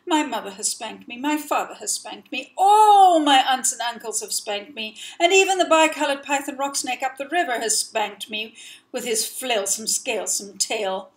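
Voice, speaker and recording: A woman's voice: high voice, animated, normal speed. A woman voicing a polite, curious young male elephant calf who speaks earnestly and pleadingly.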